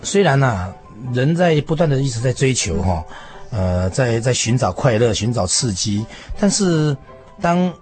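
A man's voice: medium pitch, big pitch swings, a little slow, darkish tone. Male preacher explaining scripture, music behind. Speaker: preacher, interview guest.

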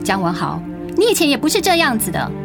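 A woman's voice: fairly high pitch, huge pitch swings, quick pace, music in the background. An actress playing a weary, guarded writer and mother with a Taiwanese accent in a radio drama. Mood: resentful. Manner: tired, guarded, reproachful. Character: weary, resentful overworked writer wife and mother. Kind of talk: Mandarin radio drama acting.

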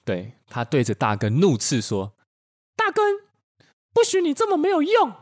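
A man's voice: fairly high pitch, wildly swooping pitch, moderate pace. A man co-hosting a podcast, improvising a story in a playful, theatrical manner and bantering. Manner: theatrical, bursts into shouted character lines.

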